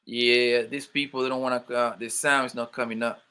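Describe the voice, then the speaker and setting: A man's voice: medium pitch, flat delivery, a little fast, bright, forceful tone, clear, resonant tone. A young man with a New York accent hosting a casual livestream, joking loosely and calling out chat viewers by name. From born in Colombia, raised in Brooklyn, New York. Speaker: livestream host.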